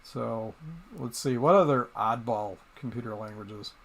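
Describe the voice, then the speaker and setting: A middle-aged man from Michigan hosting a casual live stream, rambling with plenty of pauses and restarts as he talks to viewers in chat. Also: mid-range voice, creaky. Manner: rambling, hesitant, many ums and restarts.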